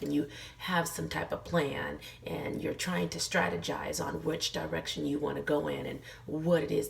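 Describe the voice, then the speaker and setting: A middle-aged woman vlogging in a casual, encouraging way while losing her voice, hoarse and full of fillers. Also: low voice, distant mic. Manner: chatty, many fillers, earnest encouragement. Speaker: lifestyle vlogger. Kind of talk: casual motivational talk to camera.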